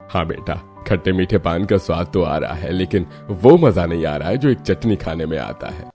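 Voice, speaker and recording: A man's voice: low-pitched, animated, moderate pace, warm tone, soft background music. A man playing an appreciative but picky eater in a cartoon family drama. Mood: disappointed.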